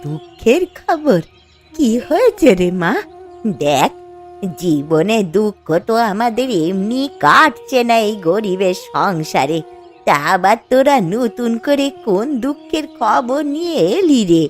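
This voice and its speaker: A poor old mother-in-law, acted by a woman, frets over her household in a cartoon drama. She sounds plaintive and careworn, with soft music running underneath. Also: on the high side, very animated, slow pace. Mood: anxious. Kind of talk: Bengali cartoon story dialogue.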